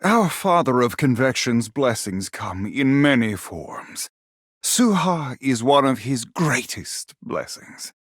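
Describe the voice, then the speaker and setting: Game casting: devout zealot, grave and slow, with sweeping intonation. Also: male voice, medium pitch, big pitch swings, fairly bright tone, dry recording, studio quality, full character voice. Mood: solemn, devout. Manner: grave, reverent, deliberate. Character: solemn, pious male religious zealot. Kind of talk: video game character dialogue.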